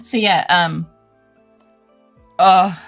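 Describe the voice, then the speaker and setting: A young woman streamer chatting casually while gaming, animated and swearing freely with frequent laughs. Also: on the low side, extremely slow, creaky, faint music behind. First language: English.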